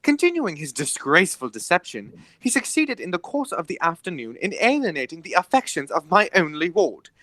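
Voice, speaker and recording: A man playing an indignant, stubborn gentleman guardian in an amateur radio play, formal and emphatic in his delivery. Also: sits high, wildly swooping pitch, a little fast, few pauses, no room sound, heavily acted.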